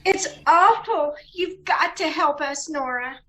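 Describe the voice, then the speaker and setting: A woman plays a very high-voiced, bright young American bride in a radio mystery spoof. She is naive and fretful, pleading in a girlish way. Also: takes it slowly, rough recording. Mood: fearful, pleading. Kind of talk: old-time radio comedy mystery acting.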